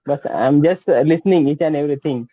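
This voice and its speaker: A man from India, an audience member on a video call, speaking politely and haltingly and often saying ma'am. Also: on the high side, no room sound. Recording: tinny, distant phone-like call audio.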